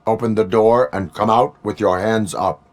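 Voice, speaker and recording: A man playing a stoic cyborg police officer in a video game, speaking in deliberate, clipped, formal sentences. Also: on the low side, fairly even intonation, faint music behind, natural voice. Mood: stern, commanding. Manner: deliberate, clipped, formal speech without contractions.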